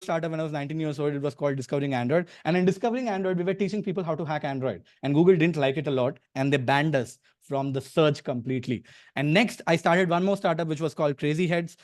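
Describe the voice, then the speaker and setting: A man's voice: on the high side, fast talker, no room sound, studio quality. A man with an Indian accent, a native Telugu speaker from eastern India, hosting a live online workshop in an emphatic, informal way with repeated words and rhetorical questions. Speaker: online workshop host and entrepreneur. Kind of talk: live online presentation to an audience.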